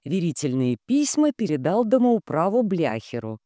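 A woman reading a storybook shinobi man, composed, businesslike, animated intonation, dry close sound. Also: on the low side, normal speed, dry recording, pitched above the performer's own voice. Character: composed, businesslike male shinobi envoy dictating a message.

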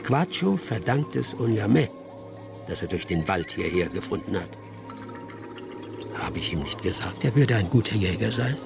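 An actor playing a wry, musing old village hunter who narrates a radio drama. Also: male voice, lowish voice, animated, moderate pace, creaky, long pauses, music in the background.

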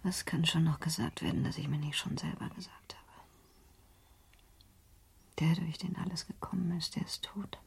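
A young wife facing disgrace in a period radio play. Her voice is a very husky near-whisper with creak in it and little melody. She speaks haltingly and quietly, as if shattered. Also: low voice, fairly even intonation, full character voice. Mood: despairing, resigned. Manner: whispered, halting, subdued delivery.

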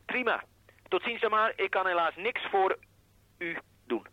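A man's voice: high voice, a little slow, sharp tone, no room sound. An actor playing a dismissive, impatient heating repairman over the phone in a radio drama, brusque in manner. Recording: dull telephone sound.

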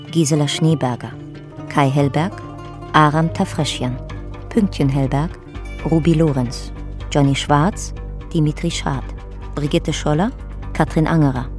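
Radio play narrator, deep female voice, measured and factual documentary read over soft music. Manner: sober, measured documentary delivery. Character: female narrator of a historical chronicle. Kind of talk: scripted radio drama narration.

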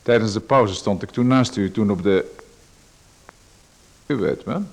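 An actor voices a sinister visitor in a radio play who knows far too much about the man he is calling on. His voice sits somewhat low, and he speaks calmly and with insinuation. He turns polite questions aside and never answers them straight. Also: measured pace, vocal fry. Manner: calm, insinuating, politely evasive. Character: sinister, overly familiar male visitor who watches a playwright.

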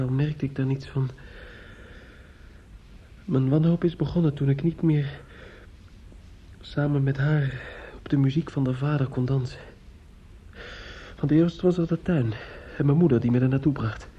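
A man acting in a Dutch radio play as a grieving, bitter young medical student who drinks, speaking in halting, repetitive rambles. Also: mid-range voice, steady tone, husky, lightly acted. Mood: rambling despair. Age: young adult. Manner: halting, rambling, repeats himself. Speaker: radio drama actor. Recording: muffled old radio play recording.

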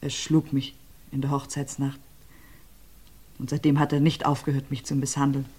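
An actress playing an abused widow being questioned about her husband's death, with hesitant, halting answers that often sink to a near whisper. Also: completely flat delivery, mellow, breathy edge. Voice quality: low, subdued, often drops to a near whisper. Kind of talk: radio crime play.

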